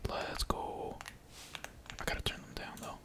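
A man whispering slangy, sweary ASMR commentary while playing a video game at home, keys typing behind him. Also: unhurried. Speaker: gaming ASMR streamer. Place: indoors at home, at a computer. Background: keyboard typing.

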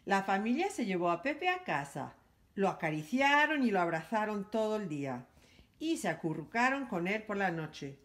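A woman reading a children's picture book aloud for library storytime, in an animated, sing-song storytelling manner. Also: mid-range voice, normal speed.

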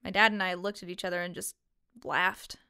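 An actress playing a calm, matter-of-fact woman of twenty-two in a fiction podcast. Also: lowish voice, a little fast, clear, resonant tone. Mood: reminiscing. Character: blunt, composed young woman of twenty-two, sister of missing girl. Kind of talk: conversational audio drama acting.

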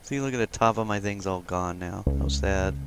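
A man's voice: low-pitched, moderate pace. A man chatting casually as he co-hosts a co-op video game session. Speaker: gaming video co-host. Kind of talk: casual co-op gameplay chat. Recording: background music over the voice. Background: loud music.

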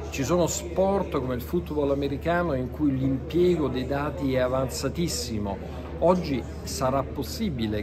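A middle-aged man, a company executive, gives a measured, explanatory interview at a busy exhibition hall. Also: mid-range voice. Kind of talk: trade fair interview. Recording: chattering crowd behind.

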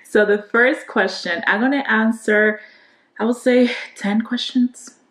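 A woman's voice: fairly high pitch, fairly even intonation, unhurried, fairly bright tone, reverberant. A woman in her twenties from the Dominican Republic, a native Spanish speaker talking with a Dominican accent and answering questions to camera in a casual, rambling way. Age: 20s. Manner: chatty, trailing restarts, gesturing with hands. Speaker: beauty vlogger. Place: bedroom at home, indoors.

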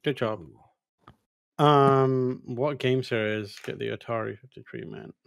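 A man's voice: mid-range voice, fairly even intonation, a little slow, vocal fry, clear, resonant tone, no echo. A man talking casually as a podcast panelist, close on a microphone. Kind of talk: casual chat. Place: indoor room, close mic. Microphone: microphone on boom arm, headset.

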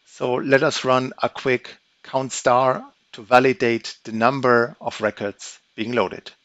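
A man giving a methodical, step-by-step walkthrough of a software demo, explaining each action as he goes. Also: mid-range voice, fairly even intonation, takes it slowly, no echo. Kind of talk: screen-recorded software walkthrough. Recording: dry, slightly dull audio.

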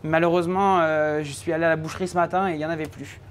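A man's voice: fairly high pitch, fairly even intonation, slight background noise. Young guy from normandy, cooking student, talks through the recipe, lots of euh, kitchen mic. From Normandy, France. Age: young adult. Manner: chatty, many filler pauses. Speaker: culinary student chef. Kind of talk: live cooking show presenting. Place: teaching kitchen, indoors. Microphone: handheld mic.

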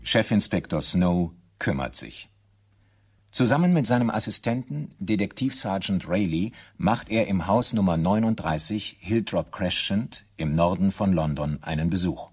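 An actor playing the measured, articulate narrator of a crime radio play. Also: male voice, low voice, expressive, breathy edge. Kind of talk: scripted radio drama narration.

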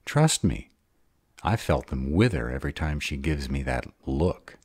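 A man's voice: extremely low pitch, lively intonation, unhurried, a little mellow, slightly rough, no echo, clean studio sound, full character voice. A man playing a sarcastic bad-boy vampire in an audio roleplay drama, drawling, guarded but devoted. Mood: sardonic.

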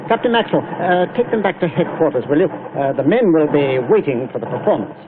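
An actor playing a dignified, sorrowful army general, halting and formal, in a 1940s radio drama. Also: male voice, a little high, animated, lightly acted. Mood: grave, grieving. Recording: noisy, tinny 1940s radio broadcast.

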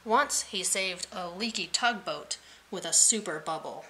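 A woman reading a children's picture book aloud in an expressive, playful way, adding vocal sound effects. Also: fairly low pitch, unhurried, rough recording.

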